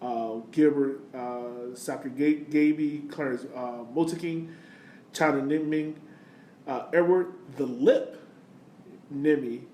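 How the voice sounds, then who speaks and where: A man's voice: mid-range voice, steady tone, takes it slowly, dark tone. An American man hosting a casual sports podcast, chatting informally with plenty of slang. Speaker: podcast host. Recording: roomy echo, background noise.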